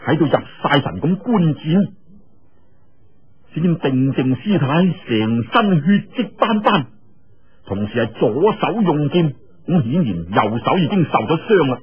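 A man with a husky voice tells a martial arts story in a dramatic, colloquial style with drawn-out particles. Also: a little high, sing-song. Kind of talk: animated Cantonese storytelling of a martial arts novel. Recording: dull, lo-fi radio-like audio.

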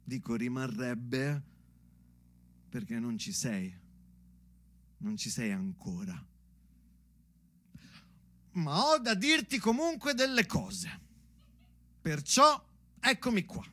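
A man performing a theatrical monologue like a spoken letter, deliberate and measured, pausing between short phrases. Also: medium pitch, big pitch swings, bright, forceful tone. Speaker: stage actor performing his own monologue.

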